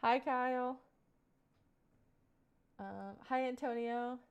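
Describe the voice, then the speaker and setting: A woman's voice: high-pitched, steady tone, takes it slowly, clear, resonant tone, dull audio. A woman in her twenties talking casually on a live stream, answering viewer questions in a rambling, earnest way full of fillers. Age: 20s.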